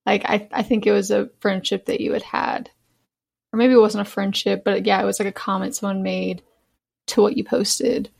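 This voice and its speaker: A woman talks as a guest on a podcast, with noticeable vocal fry. Her pitch is average, and her delivery is casual and thoughtful as she works through her opinions. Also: little pitch movement, moderate pace, darkish tone. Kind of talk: casual, reflective conversation.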